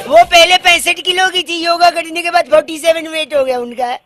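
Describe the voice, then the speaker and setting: A radio host playing Bauaa, a cheeky young prank caller who teases people with wordplay and puns. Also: male voice, very high-pitched, steady tone, brisk, pushed and bright, soft background music, pitched above the performer's own voice. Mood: teasing. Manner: teasing, playful, wordplay jokes.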